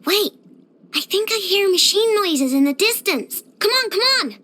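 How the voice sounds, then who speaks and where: Casting: a tiny girl tinkerer for a game, very high and bright, childish, dramatic, bossy. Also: expressive, unhurried, full character voice. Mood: excited. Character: excitable, sweet-toothed little girl robot inventor. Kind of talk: video game character voice lines.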